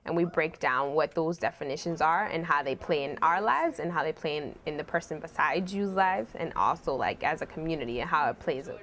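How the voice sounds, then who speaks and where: A woman's voice: low voice, fairly quick, hardly any pauses, a bit bright. A woman on the program staff explaining its goals earnestly in an interview.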